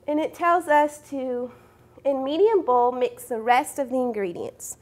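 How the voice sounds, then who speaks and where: Cooking show host: young woman, high voice, friendly step-by-step kitchen explaining. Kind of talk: cooking demonstration. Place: home-style studio kitchen.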